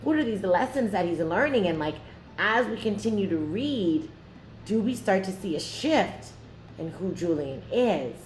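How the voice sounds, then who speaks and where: A woman teacher reading a children's story aloud and discussing it in an expressive style. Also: medium pitch, measured pace, slight background noise, poor audio.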